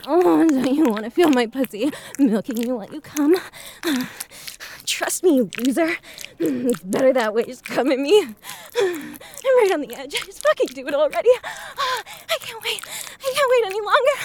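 A woman playing an exasperated, foul-mouthed adult restaurant worker in an erotic audio roleplay, pleading and swearing between breathy exclamations. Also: high-pitched, sing-song, normal speed, a little mellow, slight background noise. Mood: aroused, urgent.

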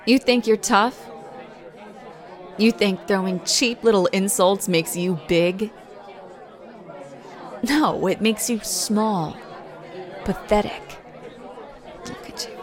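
A fiercely protective young girlfriend, played by a woman in a roleplay audio, who talks straight to you and calls you "baby." She speaks slowly and takes her time over each word. A faint murmur of a crowd sits behind her. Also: mid-range voice, steady tone, lightly acted. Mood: furious.

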